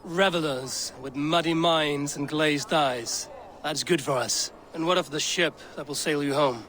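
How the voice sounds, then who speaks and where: A man's voice: fairly high pitch, unhurried, fairly bright tone. A man playing a cool, determined Norse warrior in a video game, outdoor noise behind. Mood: matter-of-fact. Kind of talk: dramatic video game dialogue.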